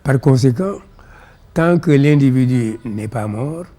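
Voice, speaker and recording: A man with a Senegalese accent and Pulaar as his first language, explaining ideas in measured, didactic phrases as an interview guest. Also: medium pitch, expressive, dark tone. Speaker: interview guest, philosophy author.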